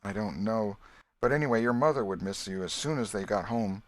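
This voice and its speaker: A man reading an audiobook, voicing a brave, tender young boy in a gentle, reassuring, earnest way. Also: on the low side, a little fast, close to an everyday voice. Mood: steady, hopeful. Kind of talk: audiobook character voice.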